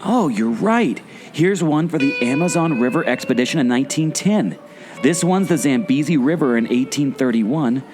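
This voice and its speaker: A man's voice: medium pitch, lively intonation, moderate pace. A man in a scripted audio play, speaking as a skeptical, puzzled sidekick with a theatrical delivery. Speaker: podcast co-host, former theme park skipper. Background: guitar music.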